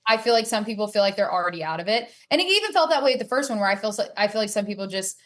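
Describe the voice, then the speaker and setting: A woman's voice: mid-range voice, animated, rapid-fire, a bit bright. A woman speaking casually and emphatically as an opinionated player being interviewed on a sports podcast.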